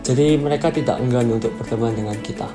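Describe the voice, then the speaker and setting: A man in his twenties from Indonesia, an international student who speaks softly and unhurriedly. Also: mid-range voice, rapid-fire, over music. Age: 20s.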